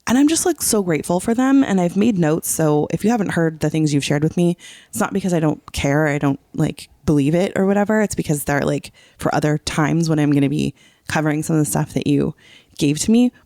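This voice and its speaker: A woman's voice: low voice, brisk, warm tone, dry recording, studio quality. A middle-aged woman co-hosting a podcast in an effusive, informal way, often saying "like" as she chats. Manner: effusive, informal, peppers talk with "like". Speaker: podcast co-host. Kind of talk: casual podcast chat.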